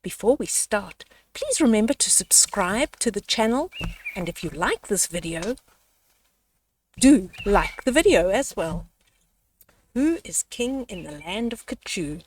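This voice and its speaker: An older woman hosting a children's storytime, reading a picture book aloud in a measured, dramatic way. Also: mid-range voice, big pitch swings, far from the mic, a little hiss or hum.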